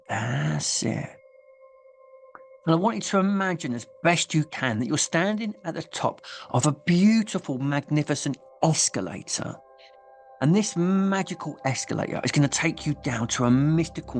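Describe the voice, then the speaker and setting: A man leading a guided hypnosis session in a soothing, hypnotic manner, pausing deliberately and letting phrases trail off softly. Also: a little high, gravelly. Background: music.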